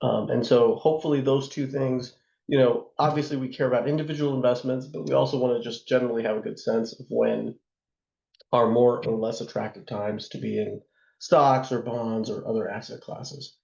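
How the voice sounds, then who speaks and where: A hoarse man teaching a class, his voice gravelly and scratchy from a sore throat. He talks loosely and conversationally, dotted with fillers. The sound is roomy and a little dull. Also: medium pitch, fairly even intonation, moderate pace, warm tone. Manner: loose and conversational, many fillers and tag questions. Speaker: instructor. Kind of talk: lecture on investing.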